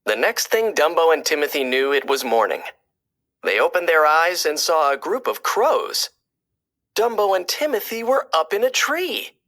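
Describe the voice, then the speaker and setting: Woman reading a kids book out loud, bright voice, super animated n dramatic. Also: sits low, moderate pace. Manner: dramatic, clearly phrased for children. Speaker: storybook reader. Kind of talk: expressive children's read-aloud narration.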